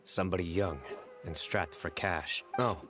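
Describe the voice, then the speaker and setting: A stern young investigator, acted by a man in a video game, speaks in a low, composed voice. He is deliberate and commanding, coolly accusatory, with music clearly behind him. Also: natural voice. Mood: measured, analytical. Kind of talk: dramatic video game voice acting.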